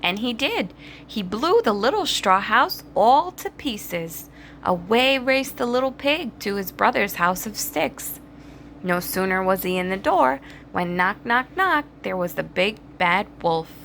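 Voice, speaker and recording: A woman reading a children's story aloud in an expressive, dramatized storytelling manner. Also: mid-range voice, unhurried, slight background noise. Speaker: storybook reader. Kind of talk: children's read-aloud narration.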